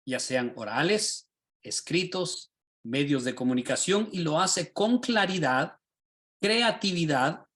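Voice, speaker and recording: Animated man teaching a class. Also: on the high side, slow. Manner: animated, rhetorical questions, asks the class directly. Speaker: pastor and teacher.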